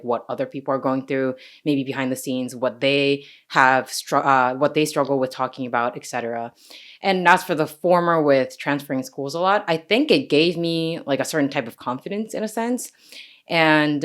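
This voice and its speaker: A woman's voice: low voice, a bit bright. A young woman from Japan who partly grew up in the US, talking candidly and self-deprecatingly as an interview guest in a casual, reflective way. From born in Japan, grew up partly in the US.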